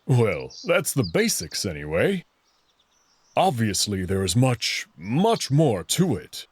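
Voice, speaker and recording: A man playing a proud, protective furry alien prince in a visual novel, speaking in an assured, measured way with formal phrasing. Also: fairly low pitch, huge pitch swings, husky, heavily acted. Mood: assured, explanatory. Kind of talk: visual novel character acting.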